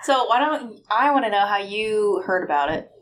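A woman's voice: medium pitch, expressive, normal speed, low-quality recording. A woman speaking as a podcast guest in an informal, conversational way. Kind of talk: casual podcast chat. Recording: noticeable background noise.

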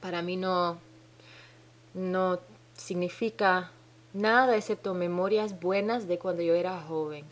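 Woman podcaster, dominican-ish spanish accent, lowish voice, slow and halting, keeps restarting. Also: fairly even intonation. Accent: Dominican-tinged Spanish. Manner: hesitant, searching for words, frequent restarts. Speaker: podcast host. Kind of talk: solo podcast monologue. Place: home in New Zealand.

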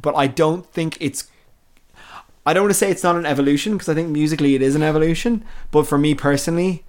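A man's voice: a little high, fast. A man, chatting casually and enthusiastically as a music podcast host reviewing albums.

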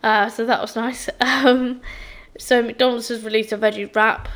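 A young woman hosting a casual solo podcast, chatty and a little croaky from being unwell, with frequent ums and restarts. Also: on the high side, level tone, a little slow, fairly bright tone. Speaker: podcast host.

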